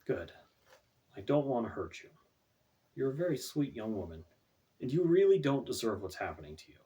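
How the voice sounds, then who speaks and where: A man voicing a conflicted, hesitant male killer with dark magic in a fantasy storybook, halting and uneasy, recorded away from the microphone. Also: medium pitch, very animated, recorded from a distance, natural voice. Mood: quiet, firm.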